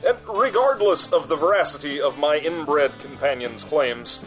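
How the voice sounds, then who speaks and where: A man's voice: a little high, normal speed, soft background music, close to an everyday voice, low-quality recording. Pompous man playing a small-town mayor. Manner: pompous, florid. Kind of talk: comic radio commercial.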